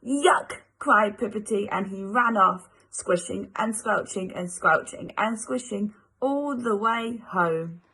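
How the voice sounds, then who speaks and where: A woman's voice: mid-range voice, takes it slowly, hardly any pauses, bright, forceful tone, slightly rough, rough recording. A female teacher reading a children's story aloud as a storybook narrator.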